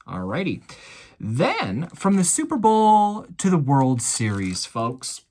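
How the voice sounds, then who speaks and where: A man's voice: fairly high pitch, wildly swooping pitch, slow, darkish tone. An American man talking casually to viewers as an online reseller running through a sales recap in a chatty, folksy showman's way. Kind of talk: casual vlog recap of items sold.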